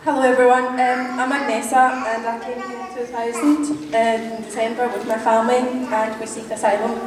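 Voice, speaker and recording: A woman's voice: on the high side, steady tone, normal speed, recorded from a distance. Young woman speaking simply in an echoey hall. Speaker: panel speaker. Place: large conference hall.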